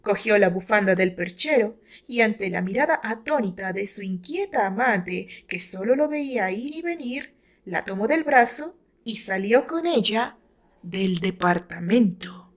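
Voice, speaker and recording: A woman's voice: medium pitch, animated, measured pace. A woman with a Mexican accent reading her own romance novel aloud as dramatic, scripted narration.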